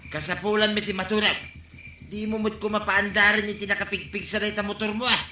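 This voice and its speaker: A man's voice: sits high, flat delivery, brisk, pushed and bright. An actor playing a grumpy middle-aged male neighbor in a comedy drama who scolds about the noise. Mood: scolding. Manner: complaining, scolding. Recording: echoey room recording.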